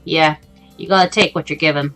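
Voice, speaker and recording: A woman's voice: sits low, fairly even intonation, a little fast, fairly bright tone, pitched below the performer's own voice. A woman voicing an easygoing, friendly, teasing working man in a visual novel, with a laid-back manner. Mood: amused, blunt. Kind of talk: visual novel character reading. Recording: music behind the voice.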